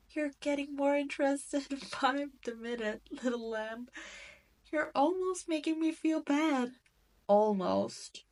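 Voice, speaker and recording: Slow-talking haughty demon queen acted by a woman. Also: high-pitched. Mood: amused, teasing. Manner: slow, teasing, condescending drawl with theatrical emphasis. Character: cruel demon queen, haughty, teasing, possessive, amused by humans. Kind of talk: scripted roleplay audio drama.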